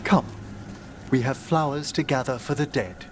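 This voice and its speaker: An actor playing a refined older necromancer scholar in a video game, gentle and wistful, with formal, eloquent, measured phrasing. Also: male voice, mid-range voice, soft background music, lightly acted. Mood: wistful, thoughtful. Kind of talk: video game dialogue acting.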